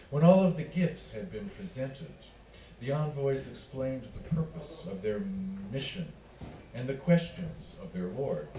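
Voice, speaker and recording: A man reading literary fiction aloud as its author, in a measured, deliberate way with pauses between phrases. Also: medium pitch, dark tone. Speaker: author reading own work. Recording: roomy, distant miking with faint noise.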